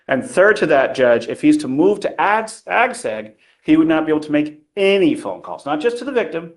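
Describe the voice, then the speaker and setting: A man's voice: a little high, sing-song, moderate pace. A defense attorney, a man, arguing before the bench in a courtroom. He talks in formal legal terms and keeps pressing his point, with frequent ums between phrases.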